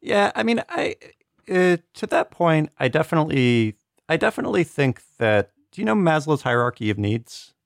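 A man's voice: fairly high pitch, very animated, normal speed, gravelly, no echo, clean studio sound. A man talking casually as a podcast panelist, hedging and rambling, with "like" peppered through his sentences. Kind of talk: casual conversational discussion.

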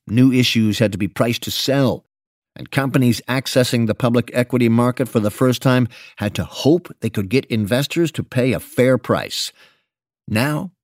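A man giving a scripted, audiobook-like narration of a financial memo, with measured, articulate delivery and emphatic phrasing. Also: mid-range voice, no room sound, studio quality. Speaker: narrator reading an investment memo.

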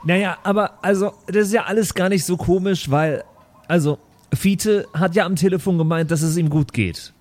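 A man's voice: high voice, normal speed. A man improvising in-character in a role-playing game, earnest and often stammering over his words. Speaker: player in a pen and paper audio show.

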